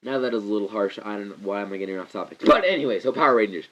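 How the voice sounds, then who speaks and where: Congested young man rambling excitedly. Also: on the low side, rapid-fire. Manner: rambling, excitable, restarts sentences often. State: congested from a cold. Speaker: movie reviewer. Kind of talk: casual rambling movie review. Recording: slightly muffled lo-fi recording.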